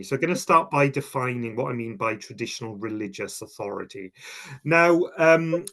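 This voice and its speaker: A man from Wales, presenting his research in an earnest, explanatory way with frequent fillers and restarts. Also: mid-range voice. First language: Welsh. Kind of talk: online presentation talk.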